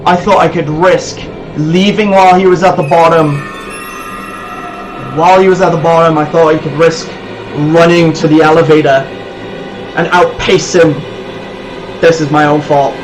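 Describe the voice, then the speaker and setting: A man giving live commentary on a horror game, muttering nervously to himself and breaking into excited bursts. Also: fairly high pitch, normal speed, long pauses, over music, low-quality recording. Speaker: let's play host.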